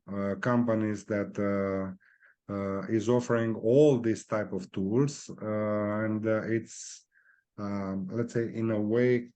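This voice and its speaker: A man with a Romanian accent, a guest speaking in a halting way, often searching for words and filling pauses. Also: fairly low pitch, dark tone. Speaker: guest, real estate executive. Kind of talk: video interview.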